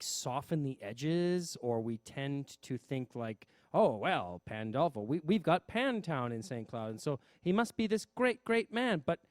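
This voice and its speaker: A man interviewing in a casual, playful and expressive chat. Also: fairly high pitch, no room sound, clean studio sound. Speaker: interviewer, theater director.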